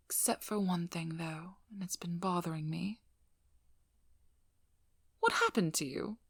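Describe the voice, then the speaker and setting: Woman playing a shrewd, low-voiced vampire hunter, creaky and hushed. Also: measured pace, dry recording, full character voice. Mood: dry, scrutinizing. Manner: probing, blunt, dry. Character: devious, sharp-tongued female vampire hunter, shrewd and blunt. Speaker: ASMR role-play performer. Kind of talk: ASMR character role-play, speaking softly to the listener.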